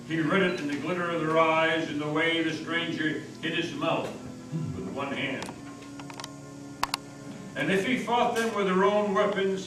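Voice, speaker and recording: Casting: male narrator, measured and theatrical, higher-pitched, heard from a distance in a very echoey meeting hall. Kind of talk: dramatic reading of a story. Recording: echoey hall from far off, noisy.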